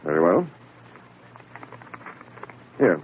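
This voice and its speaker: Pedantic, guarded radio-drama doctor, lower register, thin hissy old recording. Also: male voice, sing-song, extremely slow, phone-quality sound, heavily acted. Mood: brusque, pedantic. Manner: pompous, clinical, hesitant when pressed. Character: evasive, self-important male psychiatrist, busy and pedantic. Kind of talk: 1940s radio acting.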